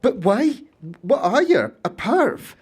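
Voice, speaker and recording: A woman's voice: a little high, very animated, slow, darkish tone, husky, heavily acted. A woman voicing a brash young woman thief who talks bluntly and mockingly. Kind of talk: audio drama acting.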